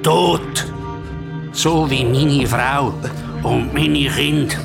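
A man's voice: medium pitch, creaky, heavily acted. A male actor playing a vengeful, mutilated worker back from the dead in a horror radio drama, coldly taunting in Swiss German. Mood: bitter accusation. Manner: menacing, taunting, slow-burning. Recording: loud music bed behind.

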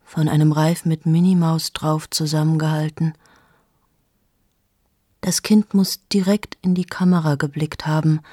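A woman's voice: low voice, slightly rough, no echo, clean studio sound, exaggerated voice. An actress in her thirties playing a cold, self-absorbed journalist in a radio drama monologue, talking straight to the listener and often dropping into a whisper. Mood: hushed. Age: 30s. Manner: shifts into hushed whispers, addresses the listener directly. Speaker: monologue speaker.

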